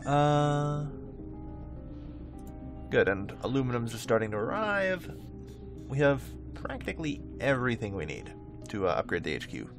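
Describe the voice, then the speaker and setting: A man's voice: medium pitch, very animated, normal speed, pauses often, music in the background. A man giving casual gameplay commentary, thinking aloud in a rambling way and often correcting himself. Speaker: host of a gameplay video.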